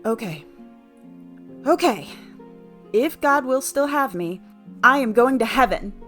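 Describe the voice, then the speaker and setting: Actress playing earnest middle-aged woman, regretful, unhurried, halting, emotive, radio play, faint music behind. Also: a little high, expressive, a bit bright. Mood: tender, resolved. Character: earnest, regretful career woman newly arrived in afterlife.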